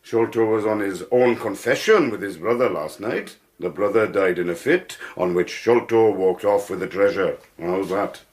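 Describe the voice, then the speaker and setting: A man's voice: lowish voice, normal speed, hardly any pauses, darkish tone, close to an everyday voice. A man acting a smug, blustering British Victorian police inspector in a radio drama, pompous and full of hesitant hedging.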